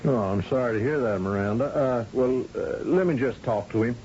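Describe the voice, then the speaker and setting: An actor playing a shrewd, folksy, commanding governor of a space colony in a 1980 radio drama, with hesitant asides and brisk orders. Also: male voice, mid-range voice, darkish tone, slight background noise, lightly acted. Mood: folksy, friendly. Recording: dull lo-fi old radio broadcast recording.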